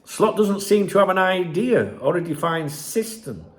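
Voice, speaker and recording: A man, croaky from a chest infection, giving sweary and sarcastic casual commentary on a news article as a football channel host. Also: high-pitched, sing-song, normal speed.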